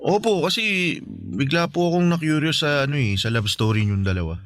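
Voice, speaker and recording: A man's voice: fairly high pitch, expressive, normal speed, fairly bright tone, soft background music. A man with a Filipino accent playing a cheerful, affectionate college-age son in a radio drama, boyish and eager in manner. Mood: shy, curious. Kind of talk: Tagalog radio drama acting.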